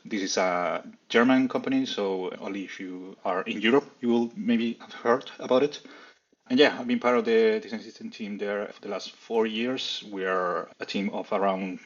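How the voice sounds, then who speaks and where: A man from Chile with a lowish, husky voice, introducing himself in a friendly and unhurried way. His call audio sounds dull and lo-fi. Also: steady tone. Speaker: panel speaker.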